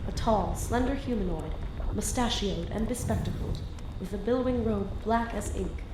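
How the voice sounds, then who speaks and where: A woman's voice: medium pitch, normal speed, background noise, lightly acted. A woman playing a flippant, theatrical teenage student magician in an audio drama, with a wordy, casual manner. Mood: dramatic narration. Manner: casual teen banter, theatrical wordy narration. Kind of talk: audio drama acting and first-person narration.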